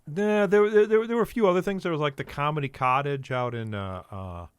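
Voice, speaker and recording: A man's voice: fairly high pitch, fairly quick, clear, resonant tone, no echo. An older man, a guest comedian, telling rambling stories in a casual podcast chat with lots of fillers and restarts.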